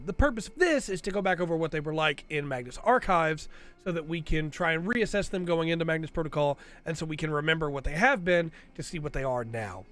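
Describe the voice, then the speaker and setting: A man's voice: on the high side, fairly bright tone. A man hosting a casual commentary video while he has a cold, rambling and often tagging his points with "right?". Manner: rambling, tags lines with "right?". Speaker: video host.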